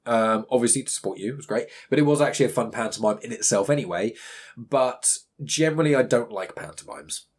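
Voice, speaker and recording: A man's voice: medium pitch, a little fast, breathy edge. A man with a southern English accent chatting casually as a podcast co-host. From Southampton, England. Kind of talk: casual chat.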